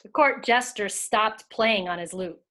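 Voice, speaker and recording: A woman's voice: mid-range voice, a little slow, fairly bright tone. A woman reading scripted story narration as a narrator in a readers theater.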